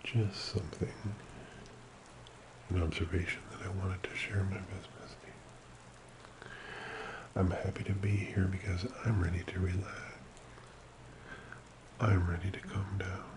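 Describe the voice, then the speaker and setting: A man speaking very softly as an ASMR host, slow and soothing, often slipping into a whisper in a creaky murmur. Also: low voice, little pitch movement, background noise. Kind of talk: soft-spoken ASMR personal attention, slow guided relaxation.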